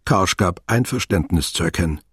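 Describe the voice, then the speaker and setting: An actor playing a sober, detached narrator, reading scripted literary prose in a radio play. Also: male voice, animated, normal speed, fairly bright tone, no room sound, clean studio sound, exaggerated voice. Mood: detached, precise. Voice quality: very husky, low.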